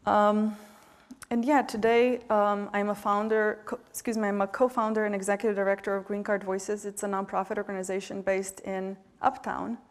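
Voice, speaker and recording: A woman in her forties speaks with a Bosnian accent, heartfelt and halting, often stopping to restart her sentences. Her pitch stays level and even throughout. The sound carries a long reverb, as from a large event hall. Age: 40s. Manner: heartfelt, hesitant, many fillers and restarts. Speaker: panelist, nonprofit director. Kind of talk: earnest public remarks.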